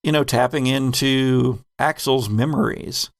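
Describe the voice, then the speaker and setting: A man talks casually as the game master looking back on a podcast. His voice has a gravelly fry at a middling pitch. The sound is dry, with hardly any room to it. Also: unhurried, dry recording. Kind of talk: casual retrospective chat.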